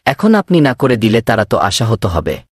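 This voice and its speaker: Casting: man voicing earnest scheming cartoon villager, persuasive, husky, very animated delivery. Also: medium pitch, moderate pace, dry recording, clean studio sound. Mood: pleading.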